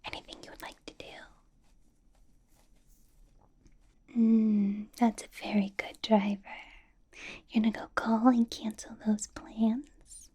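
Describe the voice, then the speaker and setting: A woman playing a doting, possessive vampire boss in a soft, breathy whispered ASMR roleplay, coaxing and fussing with a lightly bossy edge. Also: a little high, level tone, moderate pace. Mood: affectionate, possessive. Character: doting, possessive female vampire boss, older than she looks.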